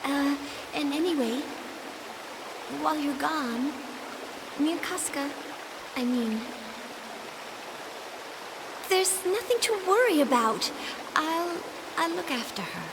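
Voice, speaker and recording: An earnest young boy with a high, slow, halting delivery. He sounds eager to please, a game companion recorded over clear background noise. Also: expressive, exaggerated voice. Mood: nervous, eager. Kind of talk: video game voice acting.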